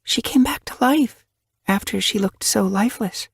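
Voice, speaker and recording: A man's voice: sits high, moderate pace, gravelly, slightly rough, no room sound, studio quality, pitched above the performer's own voice, exaggerated voice. A man voicing an anxious, dreamy young female cat who talks in breathless apologies. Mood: wistful.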